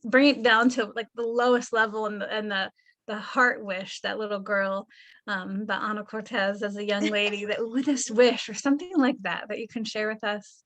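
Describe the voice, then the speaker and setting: A woman's voice: mid-range voice, fairly even intonation, moderate pace, fairly bright tone. A woman hosting a podcast who asks long, thoughtful, rambling questions. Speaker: podcast host. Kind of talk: interview questions.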